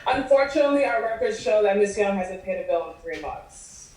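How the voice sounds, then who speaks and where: Woman playing a mellow, businesslike billing administrator. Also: medium pitch, lively intonation, fairly quick, lightly acted. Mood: polite, firm. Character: polite, businesslike female care-home billing administrator. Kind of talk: table read acting. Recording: echoey room, distant video call mic.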